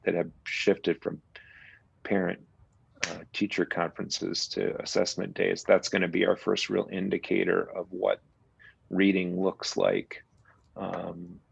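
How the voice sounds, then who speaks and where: A man giving a school principal's report at a parents' meeting, relaxed and rambling with fillers. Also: low-pitched, a little slow, vocal fry, breathy edge, no echo, muffled recording. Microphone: video call.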